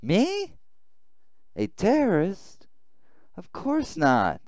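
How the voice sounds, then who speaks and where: A man reading a visual novel aloud as a blunt, defensive young woman in a militia, a little halting. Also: high voice, wildly swooping pitch, darkish tone, no echo, voice pushed up, heavily acted. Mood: indignant.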